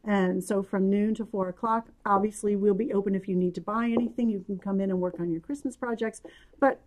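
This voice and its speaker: A New woman, slightly hoarse, co-hosting a casual chat about her yarn shop, rambling along with fillers. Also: mid-range voice, fairly even intonation, a little fast, darkish tone. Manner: chatty, rambling with fillers and laughs. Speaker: yarn shop owner and podcast co-host.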